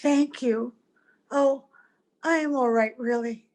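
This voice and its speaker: An actress playing a gentle, wistful, mysterious wife in a radio play, speaking with tender care. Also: female voice, high-pitched, steady tone, takes it slowly. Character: gentle, wistful ageless wife, mysterious visitor, secretly old. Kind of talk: old-time radio drama acting. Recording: home video call with music.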